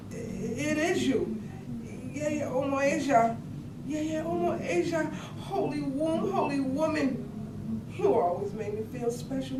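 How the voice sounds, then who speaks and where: A woman's voice: on the high side, close to an everyday voice. A woman playing a restless, lonely daughter in a poetic monologue at a staged play reading. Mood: tender, reverent. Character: restless, lonely daughter longing for her late mother. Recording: echoey room, distant mic, loud noise.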